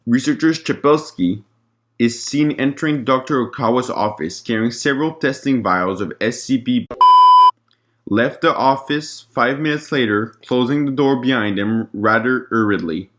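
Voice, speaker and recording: A man's voice: medium pitch, moderate pace, a bit bright. A man narrating a scripted document log in a formal, report-like way, with an occasional stumble over the words.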